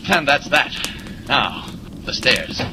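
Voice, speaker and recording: A man's voice: mid-range voice, big pitch swings, slow, very noisy. An actor playing a confident, playful superhero, amused and declamatory as he talks to himself in a 1940s radio serial. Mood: bold, exerting. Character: confident, playful male superhero, boldly taunting.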